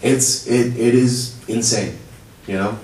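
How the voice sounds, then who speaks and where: A young man whose first language is Urdu, speaking in a halting, pleading way at a public comment podium in a council chamber. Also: medium pitch, steady tone, darkish tone. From child of immigrants. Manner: halting, many restarts, rising to pleading urgency. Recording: roomy hall, distant mic, faint hum.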